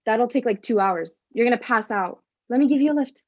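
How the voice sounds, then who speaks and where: An actress playing a blunt, friendly activist in a staged play reading, casual and direct. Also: female voice, a little high, expressive, fairly quick, darkish tone. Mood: friendly, insistent. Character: blunt, friendly activist woman driving a van. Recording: slightly muffled lo-fi sound.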